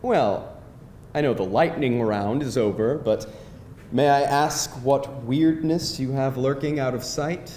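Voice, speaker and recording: A man's voice: medium pitch, a little slow, a little mellow, natural voice. An actor playing a quirky, dryly teasing man on a date in a live screenplay table read. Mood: playful. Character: quirky atheist man on a date, secretly devoted churchgoer. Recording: echoey live room, faint noise.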